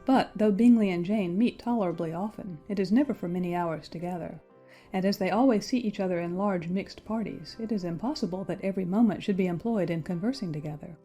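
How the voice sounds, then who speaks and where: Woman as pragmatic young period gentlewoman for audiobook drama: mellow, husky, measured and formal, music behind. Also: fairly low pitch, close to an everyday voice. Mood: measured persuasion. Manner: measured, matter-of-fact, formal period diction. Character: pragmatic, worldly young gentlewoman, coolly advising.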